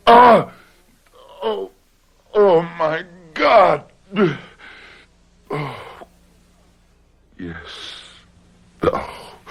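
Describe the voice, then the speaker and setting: An actor playing a guilt-ridden, anxious murderer in old-time radio drama style, halting and stammering as he repeats himself. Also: mid-range voice, creaky, a bit bright, slightly rough, full character voice. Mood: horrified, anguished. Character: guilt-ridden anxious man who has committed murder, confessing and faltering.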